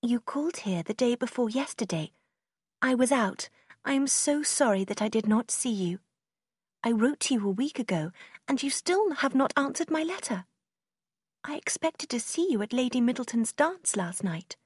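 A woman's voice: fairly high pitch, lively intonation, normal speed, darkish tone, husky, no echo, studio quality, pitched above the performer's own voice. A woman voicing a passionate, heartbroken young woman in an audiobook, with a tearful, pleading manner. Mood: eager, yearning. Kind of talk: audiobook character acting in a simplified classic novel.

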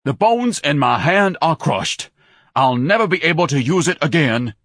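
A man's voice: mid-range voice, big pitch swings, moderate pace, a bit bright, breathy edge, no room sound, studio quality, voice pushed up. A man voicing an obese, pompous, indignant man who lectures and complains melodramatically in an audiobook. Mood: outraged bellowing.

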